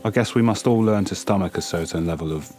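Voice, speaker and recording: A man playing a brooding, reserved magpie in an audio drama, halting and subdued. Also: low-pitched, a little mellow, soft background music, lightly acted. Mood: subdued, guarded. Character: brooding, reserved male magpie, awkward and guarded.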